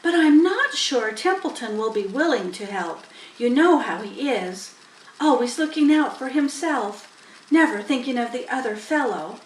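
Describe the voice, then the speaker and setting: Woman as a brisk spider, high and roomy. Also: animated, far from the mic, a little hiss or hum. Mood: frank. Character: female spider, brisk, clever, businesslike leader.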